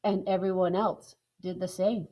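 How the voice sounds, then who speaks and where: A woman narrating a children's picture book in a calm, clear way. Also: fairly low pitch, normal speed. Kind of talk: children's storybook reading.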